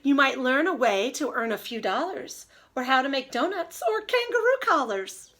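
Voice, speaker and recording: A woman teacher reading aloud and giving a lesson to young children in an expressive, child-directed way. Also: high-pitched, moderate pace. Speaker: early elementary teacher.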